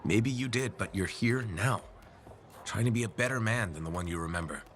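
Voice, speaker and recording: An actor voicing a supportive video game freelancer hero in a plain-spoken, measured, reassuring way. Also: male voice, on the low side, fairly bright tone, slight background noise, lightly acted. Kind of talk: video game character dialogue.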